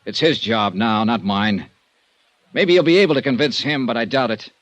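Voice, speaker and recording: A man's voice: mid-range voice, lively intonation, normal speed, dry recording, lightly acted. An actor playing a hard-boiled male insurance investigator in a 1950s radio drama, talking in a clipped, sardonic way. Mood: accusing.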